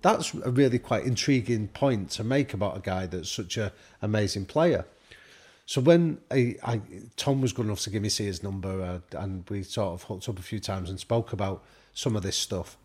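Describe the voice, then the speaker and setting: A man's voice: fairly low pitch, normal speed, darkish tone, husky. A man from the UK, a guest expert, telling anecdotes in casual conversation and acting out the quoted dialogue. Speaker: guest expert on high performance. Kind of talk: conversational storytelling.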